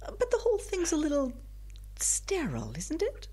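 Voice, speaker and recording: A woman's voice: high-pitched, huge pitch swings, normal speed, warm tone. An actress playing a smitten, wistful older woman with a mischievous streak, speaking in wry, wordy, old-fashioned phrases in an audio drama.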